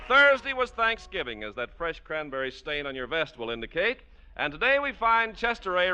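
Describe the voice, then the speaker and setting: Bright, high-voiced American radio announcer man. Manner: emphatic, polished announcer delivery. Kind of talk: 1940s radio ad and scripted narration. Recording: dull vintage 1940s radio recording.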